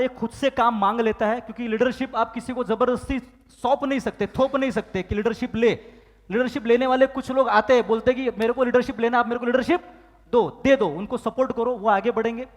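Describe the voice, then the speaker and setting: A man giving a motivational business training talk, firing questions at the audience and acting out phone calls and excuses. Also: very high-pitched. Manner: asks the crowd questions and demands loud answers. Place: large hall with live audience. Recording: long hall reverb.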